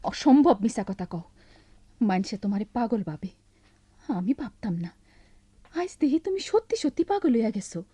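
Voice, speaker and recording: A woman's voice: on the high side, big pitch swings, normal speed, pauses often, mellow, breathy edge, no room sound. A woman playing a hurt, abandoned village wife and mother in a radio drama, plaintive and insistent, with a rural Bangladeshi Bengali dialect. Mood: reproachful. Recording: dull lo-fi radio recording with music.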